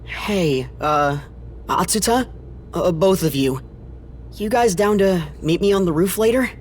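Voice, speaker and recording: A man's voice: sits high, measured pace, slight background noise. An actor playing a plainspoken young man who talks in a casual, hesitant, slangy way in a game cutscene.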